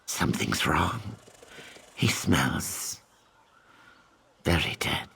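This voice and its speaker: A man voicing a cocky but earnest and loyal lizardfolk scout and tracker in a video game, with a gravelly voice. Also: deep voice, sing-song, very slow, bright, forceful tone, a little hiss or hum, heavily acted. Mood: shocked, troubled. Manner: tags sentences with a questioning "yes?". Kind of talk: video game character dialogue. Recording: game music under the voice.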